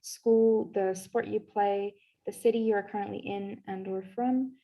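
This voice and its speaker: A woman's voice: medium pitch, fairly even intonation, unhurried, warm tone, rough recording. A young woman moderating an online panel, hosting and putting questions to panelists.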